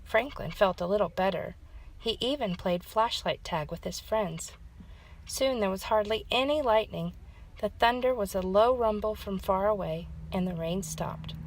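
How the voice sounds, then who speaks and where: A woman's voice: medium pitch, normal speed, poor audio. A woman hosting story time, reading a children's storybook aloud as narrator.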